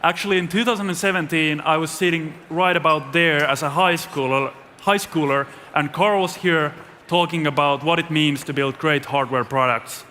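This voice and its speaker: A young man works as a stage host, reading a scripted introduction. His voice is bright and fairly high, carried through a reverberant, noisy hall. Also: normal speed. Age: young adult. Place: event stage in a large venue. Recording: echoey large hall, noisy.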